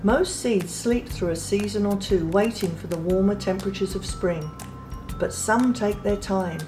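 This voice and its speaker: A woman reading a children's picture book aloud in a gentle, unhurried way, pausing softly between phrases. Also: medium pitch. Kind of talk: read-aloud storybook narration. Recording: read over clear background music.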